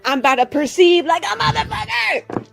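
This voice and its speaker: A woman casually roleplaying at a tabletop game, expressive and quick to joke. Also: very high-pitched, creaky, music in the background. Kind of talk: casual, joking in-character roleplay and table talk.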